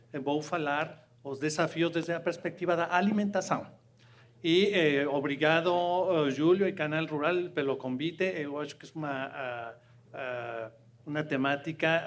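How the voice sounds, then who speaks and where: Mexican man speaking halting Portuguese. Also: a little high, fairly bright tone, slight background noise. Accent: Mexican Spanish. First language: Spanish. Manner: halting, with many fillers and repeated words. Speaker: panel speaker from an international food agency. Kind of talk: panel talk, partly reading a prepared statement.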